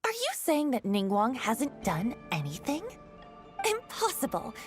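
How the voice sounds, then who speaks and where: A suspicious boss barking orders in a video game. The voice is fairly high and swings widely, imperious and emphatic over clear music. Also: female voice, wildly swooping pitch, unhurried. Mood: indignant, suspicious. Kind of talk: scripted video game dialogue.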